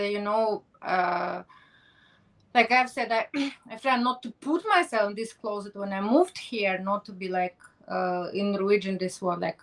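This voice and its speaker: A woman's voice: mid-range voice, sharp tone. A middle-aged woman hosting an interview, speaking non-native English and searching for words with many restarts. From not from Norway. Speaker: podcast host. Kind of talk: interview conversation.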